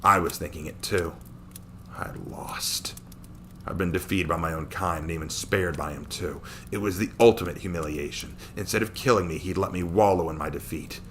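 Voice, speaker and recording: Low-voiced man narrating a dramatic story. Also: normal speed, a bit bright, a little hiss or hum.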